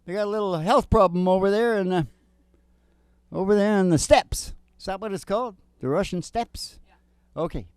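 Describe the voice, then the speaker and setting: A man's voice: high voice, moderate pace, clean tone, no room sound. A man hosting a country music show, chatting and joking in a rambling, folksy way. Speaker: host and singer. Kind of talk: casual chat with acoustic country singing. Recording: music playing behind. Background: acoustic guitar.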